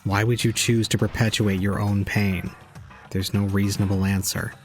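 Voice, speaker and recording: An actor playing a cold, calm young man who preaches despair with detached logic in an audio drama. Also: on the low side, normal speed, soft background music, close to an everyday voice. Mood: coldly logical.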